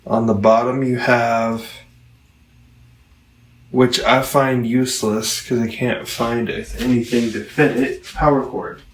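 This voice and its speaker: Slow-talking man in an echoey room. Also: mid-range voice, little pitch movement, slight background noise. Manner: halting, digressive delivery with long pauses. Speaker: product reviewer. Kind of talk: casual rambling product review vlog. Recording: roomy echo, distant microphone.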